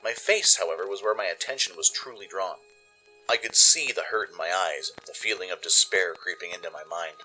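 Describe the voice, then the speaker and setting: A man narrating a first-person dramatic story for a radio play, with measured, expressive delivery. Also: deep voice, poor audio. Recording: music under the narration. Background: music.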